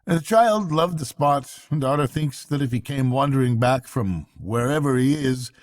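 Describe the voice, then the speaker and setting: A worried water rat from a bedtime storybook, acted out by a man. The voice is lifted high and confides gravely and earnestly, like a kind friend sharing troubles. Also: normal speed, natural voice. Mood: grave, sympathetic. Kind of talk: bedtime storybook character reading.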